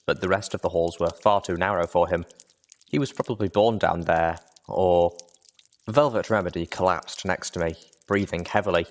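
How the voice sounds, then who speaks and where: Male audiobook reader, low-pitched, measured, expressive delivery of dramatic first-person fiction.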